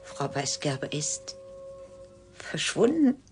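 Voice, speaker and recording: A woman's voice: low voice, very animated, slow pace, darkish tone, soft background music, exaggerated voice. A woman playing a cool, sardonic clinic doctor in a German radio drama, speaking in a clipped, dry, condescending way. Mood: measured, grave.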